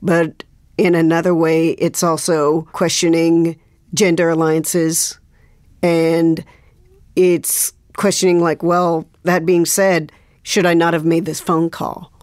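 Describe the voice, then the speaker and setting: Female interview guest, low register, reflective and hesitant, dry recording. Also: level tone, takes it slowly, a little mellow, no echo. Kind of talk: reflective conversation.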